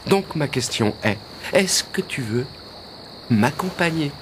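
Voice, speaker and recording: Expressive, earnest young cartoon prince. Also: male voice, on the high side, slow pace, noisy background. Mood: tender, confiding. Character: earnest, affectionate young fairy-tale prince. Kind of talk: animated fairy-tale dubbing. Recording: music under the dialogue.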